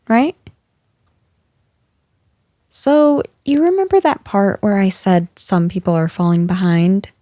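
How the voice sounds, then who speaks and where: A woman playing a stern, frustrated office boss in a scripted audio roleplay, speaking to an employee in a firm, measured, exasperated way. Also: medium pitch, mellow, no echo, studio quality. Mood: guarded, softer. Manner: firm, exasperated, measured managerial delivery with sighs.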